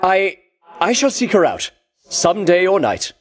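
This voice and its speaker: Casting: a boastful ancient Greek champion king in a game, high ringing voice, theatrical pomp, wildly swooping intonation. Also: big pitch swings, a little slow, heavily acted. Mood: defensive, apologetic. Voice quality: ringing, declamatory. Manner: pompous, archaic grandiose diction. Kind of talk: theatrical video game voice acting.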